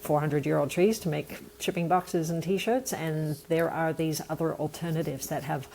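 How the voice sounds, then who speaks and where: An Australian woman with a low voice and a gravelly edge speaks as an interview guest. She tells her story in an earnest, thoughtful way, with a faint hum of room noise behind her. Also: normal speed, dark tone. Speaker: nonprofit founder, podcast guest. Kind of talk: conversational interview answer.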